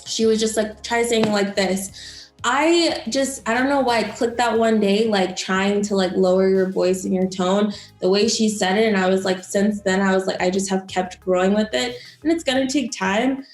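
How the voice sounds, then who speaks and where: A woman's voice: mid-range voice, steady tone, normal speed. A young woman from Florida who works as a news anchor, giving chatty, encouraging advice with many fillers. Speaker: broadcast journalist and news anchor. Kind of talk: casual interview advice. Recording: roomy echo, faint music.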